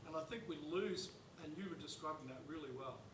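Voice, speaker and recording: A man in an auditorium audience speaking loudly and deliberately, sharing a view. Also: fairly high pitch, noisy background. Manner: loud, measured, deliberate. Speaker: audience member. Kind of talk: audience comment. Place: large auditorium. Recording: roomy, distant hall pickup.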